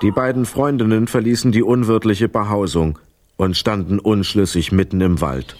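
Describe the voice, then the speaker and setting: A man's voice: lowish voice, fairly even intonation, moderate pace, darkish tone, lightly acted. A man narrating a children's adventure tale as the storyteller of a radio play. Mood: storytelling.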